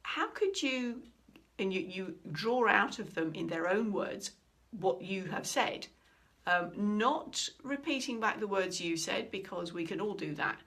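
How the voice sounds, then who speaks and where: Middle-aged female trainer, animated and expressive, average pitch, teaches while acting out quoted lines. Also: a little slow. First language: English. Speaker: communication trainer. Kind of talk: instructional talk.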